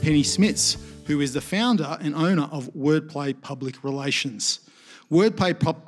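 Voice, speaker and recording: A man formally introduces the next speaker at a conference, reading from notes. His voice sits somewhat high for a man, with faint music behind it.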